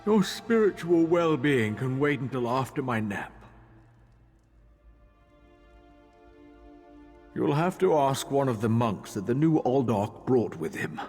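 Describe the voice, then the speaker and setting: An actor playing a drowsy, grumpy monk in a video game, grumbling wearily and dismissively. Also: male voice, medium pitch, big pitch swings, normal speed, darkish tone, close to an everyday voice. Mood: irritated, sleepy. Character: drowsy, grumpy male monk wanting his nap. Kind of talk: video game character dialogue. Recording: long hall reverb, music behind. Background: music.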